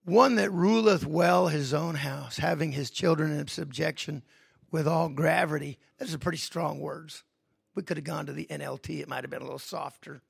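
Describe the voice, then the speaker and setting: Gravelly-voiced man preaching a sermon. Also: a little high, normal speed, no echo, clean studio sound. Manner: builds from quiet reflection to loud emphatic preaching. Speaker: pastor.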